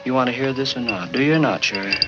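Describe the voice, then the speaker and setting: An actor playing a tired, meek husband who pleads and sulks, in 1950s film noir style. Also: male voice, mid-range voice, animated, fast talker, lightly acted. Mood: plaintive. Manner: plaintive, pleading, wounded. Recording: dull old film soundtrack with score. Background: film music.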